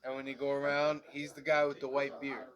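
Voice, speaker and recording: A man co-hosting a casual review chat, with a stuffy, nasal voice from allergies and a relaxed, chatty manner full of "um"s. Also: mid-range voice, brisk, muffled recording. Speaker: podcast co-host.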